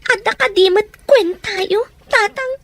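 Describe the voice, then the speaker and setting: A woman's voice: very high voice, moderate pace, creaky, fairly bright tone, no echo, heavily acted. A woman playing a burdened, reproachful young eldest daughter in a radio drama, with a Filipino accent.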